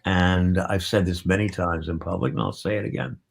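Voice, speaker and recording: Deep, husky, gravelly man reminiscing. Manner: halting, reflective. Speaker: interviewee, theatre writer. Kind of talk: reminiscing in an interview.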